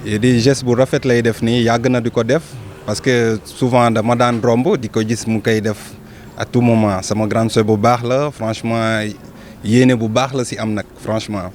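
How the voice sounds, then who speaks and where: Sincere Senegalese man, medium pitch. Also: little pitch movement, normal speed, a little mellow, a little hiss or hum. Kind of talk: spontaneous testimonial.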